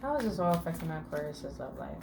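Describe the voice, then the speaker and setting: Chatty woman reading tarot, lowish voice. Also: huge pitch swings, background noise. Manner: chatty, affirming, swears casually, lists card words; drawn-out words with murmured "mm". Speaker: tarot reader. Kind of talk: casual spiritual tarot reading.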